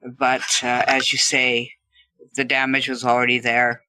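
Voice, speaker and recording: A man telling an interviewer about his stroke in a relaxed, conversational way, laughing now and then. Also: medium pitch, a little slow, clear, resonant tone, no echo, low-quality recording. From Canada. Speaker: stroke survivor guest.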